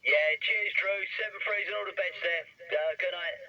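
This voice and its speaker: A man's voice: sits high, moderate pace. Man, casual radio operator, dull two-way radio sound, noisy. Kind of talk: casual radio sign-off chat.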